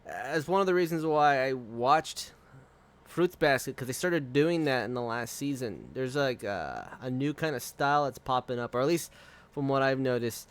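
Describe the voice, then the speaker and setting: A man's voice: mid-range voice, normal speed, clean tone. A man streaming live, chatting casually and rambling with frequent swearing and laughter. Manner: profane, rambling, laughs often.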